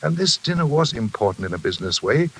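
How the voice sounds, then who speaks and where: Blustering tycoon, bright, forceful voice. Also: male voice, mid-range voice, sing-song, quick pace, slightly rough, exaggerated voice. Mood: agitated, self-justifying. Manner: brusque, emphatic, self-justifying. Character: blustering, self-important railroad tycoon with a troubled conscience. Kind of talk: 1940s radio acting. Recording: hissy old radio recording.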